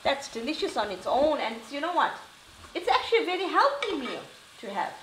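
A woman's voice: sits high, very animated, fairly quick. Chatty middle-aged woman cooking in kitchen. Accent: South African Indian English. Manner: chatty, reassuring, talks while cooking. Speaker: cooking show host. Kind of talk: casual cooking demonstration. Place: home kitchen, indoors. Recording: echoey kitchen with frying noise.

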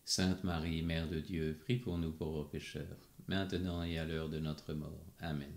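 A man with a very deep voice recites rosary responses in an even, measured way. The sound carries the echo of a reverberant room. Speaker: prayer responder. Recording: echoey room.

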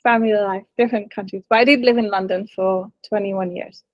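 A woman's voice: mid-range voice, fairly even intonation, fairly quick, no room sound, highs cut off. A woman, talking casually and hesitantly on a video call from her living room. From Hungary. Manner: thoughtful, hesitant, many fillers. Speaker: prospective student. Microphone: video call laptop mic.

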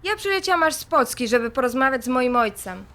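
Impulsive grown-up daughter, high sharp voice, sarcastic, confrontational, audio drama. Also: female voice, sing-song, fast talker, heavily acted. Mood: angry, reproachful. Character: impulsive, defiant adult daughter confronting her father.

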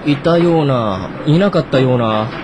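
An actor playing a breezy, slangy junior office worker in a radio drama. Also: male voice, mid-range voice, lively intonation, normal speed, background noise, close to an everyday voice. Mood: flippant. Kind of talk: naturalistic radio drama acting.

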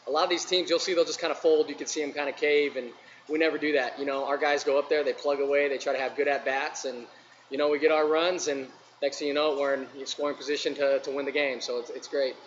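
A man in his thirties, a baseball outfielder, answering press questions in a relaxed, modest way at a table mic. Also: on the high side, fast, dull audio, slight background noise. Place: press conference room, seated at table.

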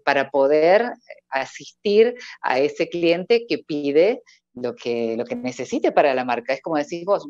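A woman's voice: deep voice, no room sound. A woman in her forties from Córdoba, Argentina, with a Cordobés accent, talking as a voice-over artist guest in a casual video-call interview, laughing often. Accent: Cordobés Argentine Spanish. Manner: laughs often, warm sing-song lilt. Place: indoor room at home. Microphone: earphone headset on video call. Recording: dull, dry video-call audio.

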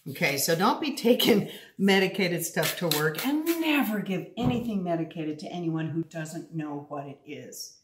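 A woman in her fifties hosting a casual cooking demo in her home kitchen, talking upbeat and straight to viewers. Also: fairly low pitch. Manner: chatty, upbeat, addresses viewers directly. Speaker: cooking show host. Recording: echoey kitchen, faint noise.

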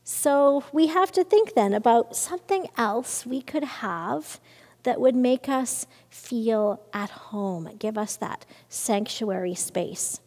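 A woman minister in her thirties, gently explaining to her congregation in a church sanctuary. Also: a little high, lively intonation, slow. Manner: gentle, explaining, with hand gestures. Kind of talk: children's conversation time. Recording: long reverb of a church sanctuary.

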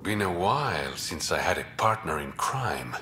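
An actor playing a suave, wry smuggler and secret crime boss in a game, teasing and self-assured. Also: male voice, sits low, sing-song, normal speed, pushed and bright, slight background noise, full character voice. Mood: relaxed, confiding. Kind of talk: cinematic game dialogue acting.

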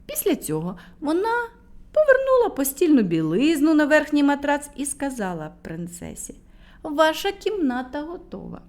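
A woman reading a fairy tale aloud for children, speaking gently and addressing them directly. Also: high-pitched, wildly swooping pitch, moderate pace. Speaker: storyteller for children.

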